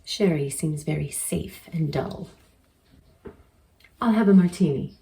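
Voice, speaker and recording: A woman acts out a wry, envious dinner guest in an audio drama of a novel. Her low voice is dry and arch. It sounds distant from the mic, with background noise. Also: slow pace, gravelly, dark tone, full character voice.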